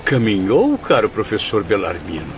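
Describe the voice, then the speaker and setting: A man's voice: lowish voice, sing-song, normal speed. A man playing a haughty, sarcastic character in an audiobook drama, speaking formally. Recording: dull audio with background noise.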